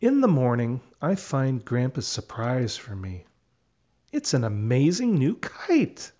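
Slow, animated male storybook narrator. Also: medium pitch. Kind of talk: children's read-aloud narration.

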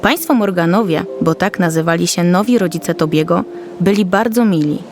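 A woman's voice: on the low side, moderate pace, over music. A woman narrator reading a scripted children's story.

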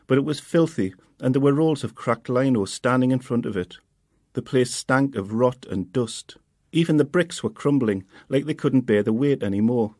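A man, the author reading his own novel in a quiet, steady first-person voice. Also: medium pitch, no room sound, studio quality. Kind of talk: audiobook reading.

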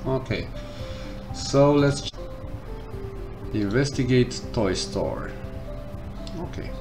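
A man commenting casually on a game as he plays, reading the game text aloud and thinking out loud. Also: mid-range voice, animated, takes it slowly. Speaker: gameplay streamer. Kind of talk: casual let's-play commentary. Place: indoors at a computer. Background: game music.

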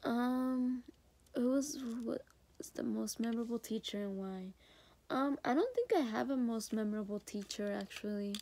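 A sick teenage girl with a hoarse voice, talking hesitantly to her phone camera in her bedroom for a school video diary. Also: expressive, moderate pace. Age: teens. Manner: hesitant, many ums, soft and low-key. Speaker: high school student. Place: bedroom indoors. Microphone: phone or laptop camera at arm's length.

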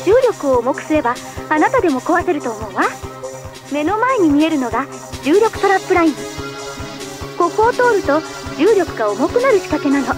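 A woman's voice: sits high, sing-song, brisk. A woman voicing a perky, playful young guide in a video game, chirpy and casual as she explains and teases. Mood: cheerful, teasing. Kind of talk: animated Japanese video game cutscene acting. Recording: music behind the voice.

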